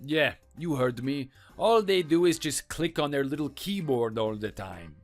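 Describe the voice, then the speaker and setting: A man voicing a scornful, boastful French mechanic who taunts and belittles in a lower, quieter voice, with faint music behind. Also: lively intonation, measured pace, close to an everyday voice. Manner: taunting, contemptuous.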